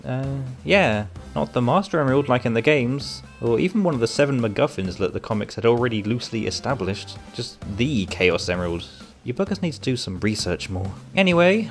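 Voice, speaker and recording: Wry man narrating a review over music. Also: medium pitch. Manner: sardonic, wry asides. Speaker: comic book reviewer. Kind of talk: scripted video review narration.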